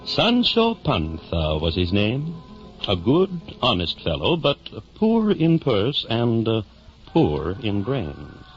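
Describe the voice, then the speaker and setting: A man's voice: fairly low pitch, wildly swooping pitch, takes it slowly. A man narrating a radio drama in formal scripted storytelling style on a vintage 1950 broadcast. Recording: muffled 1950 radio with faint music.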